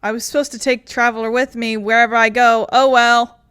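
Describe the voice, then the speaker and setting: A woman's voice: fairly high pitch, level tone, a little fast, fairly bright tone, clear, resonant tone. A woman streamer giving casual, chatty gameplay commentary, swearing and talking to herself.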